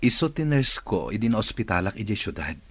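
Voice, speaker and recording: A man's voice: on the low side, big pitch swings, moderate pace, a bit bright, slightly rough, no echo, heavily acted. A man acting in a radio drama as an adult boss recovering from an illness. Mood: friendly, reflective.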